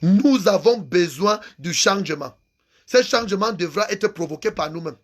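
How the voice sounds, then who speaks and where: A man's voice: high-pitched, lively intonation, normal speed. A man giving an angry, impassioned political rant, hammering home repeated phrases. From Cameroon. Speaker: activist vlogger.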